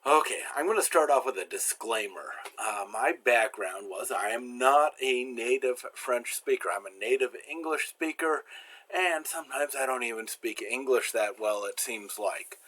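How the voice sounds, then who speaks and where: An older American man gives a relaxed, self-deprecating slide lesson from a home study, talking into a webcam. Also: medium pitch, normal speed. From United States. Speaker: teacher.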